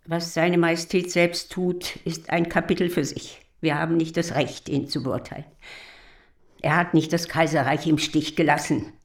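An actress playing a proud old German countess in a radio drama, gravelly, formal and indignant, grieving for her monarchy. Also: low-pitched, fairly even intonation, normal speed, close to an everyday voice. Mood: bitter, grieving. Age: older.

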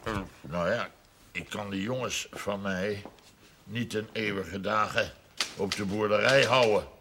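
A man's voice: lowish voice, unhurried, fairly bright tone. A man playing a proud, hot-headed farmer with a folksy, roundabout, emphatic way of talking in a period television drama. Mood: careful, persuading. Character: proud, hot-headed farmer with seven sons, scheming neighbour. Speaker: actor in a television drama series. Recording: noisy old television soundtrack.

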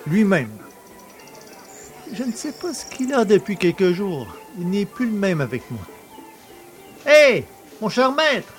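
An actor playing a cheeky, boastful poacher fresh from prison in an audio drama, familiar, mocking and self-pitying. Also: male voice, sits high, sing-song, takes it slowly, pauses often, soft background music, heavily acted. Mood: jovial. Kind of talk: French audio drama acting.

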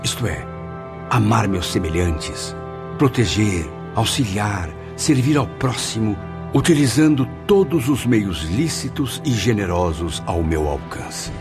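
A man's voice: fairly low pitch, exaggerated voice. An actor playing a remorseful man looking back on his past, narrating solemnly in the first person in a radio drama. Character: remorseful man, former suicide, recovering spirit. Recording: radio drama with clear music underneath.

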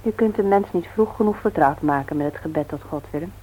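A woman's voice: low voice, a little fast, dark tone, slight background noise, lightly acted, rough recording. An actress playing a playful but earnest, devout wife and mother in a naturalistic radio drama. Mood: earnest reproach. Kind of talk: naturalistic Dutch radio play acting.

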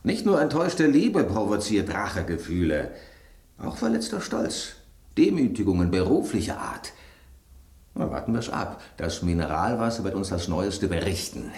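Deep, gossipy talking loaf of bread. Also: male voice, big pitch swings, normal speed, darkish tone, reverberant. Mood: impatient. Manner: inquisitive, brusque, rhetorical questioning. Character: bread on a restaurant table, gruff gossipy male detective type. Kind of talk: German radio drama acting.

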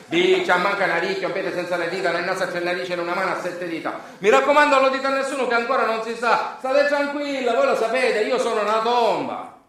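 A roomy, distant stage recording of a man playing Sicilian village gossips. The voice is high and exclamatory, with a singsong, eager lilt that relishes every secret. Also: fast, fairly bright tone. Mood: gossipy, excited. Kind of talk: theatrical monologue. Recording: roomy echo, distant microphone.

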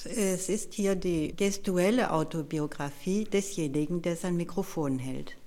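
A woman with a slightly low voice interprets aloud, segment by segment. Her delivery is measured and careful, with small pauses while she settles on the wording. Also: mellow. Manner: measured, careful, with brief hesitations. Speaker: consecutive interpreter. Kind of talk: live spoken translation in short segments.

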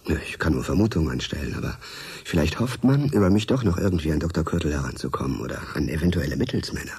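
An actor playing an urgent, determined male journalist in a German radio drama, with a husky voice. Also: rumbling low, lively intonation, quick pace, darkish tone, full character voice. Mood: sober, resigned.

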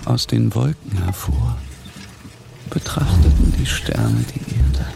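A man's voice: on the low side, wildly swooping pitch, slow, creaky. A man narrating a literary interior monologue in a dramatic scripted style. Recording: loud music over the voice.

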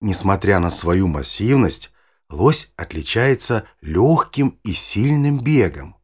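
An actor playing an older, knowledgeable naturalist grandfather explaining things patiently in an audio play, with a husky voice. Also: fairly low pitch, sing-song, slow, exaggerated voice. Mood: patient, explanatory.

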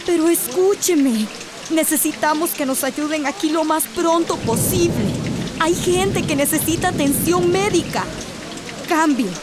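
An anxious relief worker in a radio drama, high-voiced and insistent. Loud rain noise runs behind her pleading. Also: a little slow. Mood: worried, pleading.

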